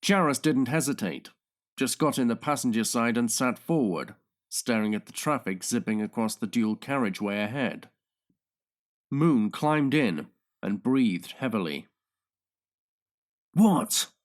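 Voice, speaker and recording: Slow, highly expressive male thriller narrator. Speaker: audiobook narrator. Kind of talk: dramatic scripted thriller narration.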